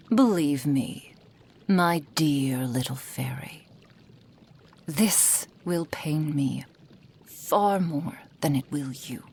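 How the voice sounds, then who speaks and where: A woman's voice: low-pitched, extremely slow, long pauses, darkish tone, slightly rough, exaggerated voice. A woman performing an ASMR roleplay as a menacing, possessive fox-spirit witch, cruelly affectionate and condescending, often dropping into whispers. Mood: menacing, falsely tender.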